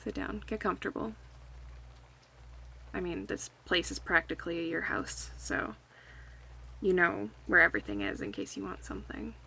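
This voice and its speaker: Woman playing a soft-spoken, creaky-voiced cozy friend. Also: lowish voice, sing-song, moderate pace, long pauses, heavily acted, poor audio. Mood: welcoming, cozy. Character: affectionate young woman, cozy longtime friend hosting a night in. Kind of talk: intimate ASMR roleplay, casual one-sided chat. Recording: faint background ambience noise.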